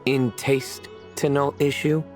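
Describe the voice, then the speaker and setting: An actor playing a composed man with a deadpan love of puns in a video game, speaking in a measured way. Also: mid-range voice, soft background music, natural voice. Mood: deadpan teasing. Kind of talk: video game character voice acting.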